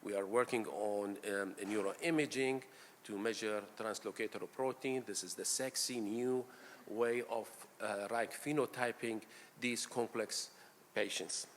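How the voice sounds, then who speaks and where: A man's voice: fairly low pitch, steady tone. Middle-aged man lecturing in an echoing hall. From Iraq. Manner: halting, frequent fillers, warm and gracious. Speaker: pain physician giving a conference talk. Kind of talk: conference lecture. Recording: reverberant hall, faint room noise.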